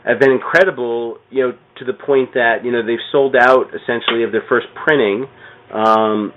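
A man's voice: mid-range voice, fairly even intonation, moderate pace. A middle-aged man chatting casually about comics as a podcast guest, rambling with plenty of "you know". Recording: thin phone-like radio sound.

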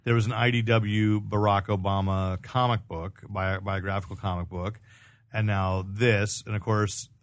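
Low-voiced man chatting about news. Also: level tone, normal speed, dry recording. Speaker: podcast host. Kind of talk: casual comic book news chat.

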